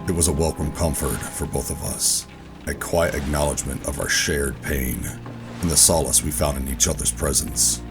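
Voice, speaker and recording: An extremely deep, brooding man acting as a world-weary government operative in a sci-fi horror audio drama. He is guarded and deliberate in his storytelling, with music playing clearly beneath him. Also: steady tone. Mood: somber, reflective. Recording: music under the voice.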